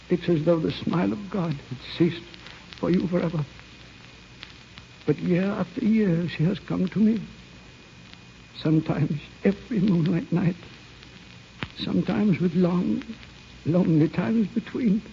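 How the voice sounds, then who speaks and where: An actor playing a stern old uncle haunted by lost love, speaking solemnly and haltingly in old-time radio style. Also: male voice, sits high, creaky, a little hiss or hum, full character voice. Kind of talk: 1940s radio acting. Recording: muffled old radio recording.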